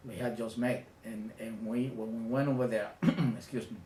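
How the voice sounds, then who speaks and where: A middle-pitched Dominican man in his fifties tells his childhood story in an interview, pausing often and searching for words. The room is echoey, and faint background noise sits beneath his voice. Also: level tone, dark tone. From Dominican Republic. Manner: reflective, hesitant storytelling with many pauses. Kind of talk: recounting childhood memories.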